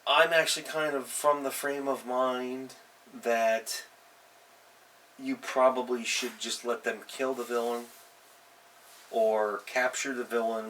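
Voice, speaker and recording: Male host, bright, forceful voice, informal unscripted advice delivery, emphatic and conversational, recorded a little away from the mic. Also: mid-range voice. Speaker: host of a tabletop role-playing advice show. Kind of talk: casual unscripted advice talk. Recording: distant mic, faint background noise.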